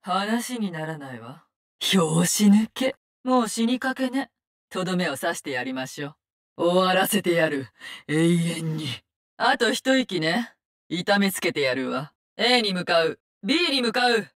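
An actress playing a cold, vengeful poison-wielding assassin in a Japanese-dubbed video game, speaking in curt, contemptuous, commanding lines. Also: female voice, fairly low pitch, lively intonation, unhurried.

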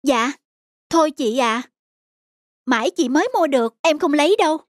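A woman's voice: high voice, lively intonation, normal speed, dry recording, clean studio sound. A woman voicing a humble, honest young scrap collector with a polite, modest manner. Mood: modest, reluctant.

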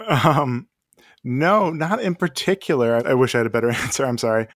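A man's voice: medium pitch, brisk, no room sound, studio quality. A man, a playwright guest, talking casually and thoughtfully in an interview.

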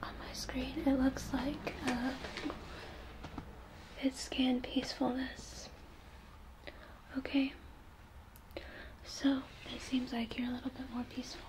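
A young woman whispering a gentle, soothing ASMR role play, reassuring the listener up close in a small room. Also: high voice, completely flat delivery, slow, pauses often, background noise. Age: young adult.

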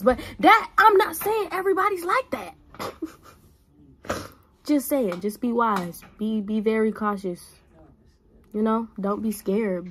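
A woman in her twenties talking casually to a phone camera while doing her makeup in a small bedroom, giving blunt big-sister advice. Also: mid-range voice. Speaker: vlogger. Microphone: phone camera at arm's length.

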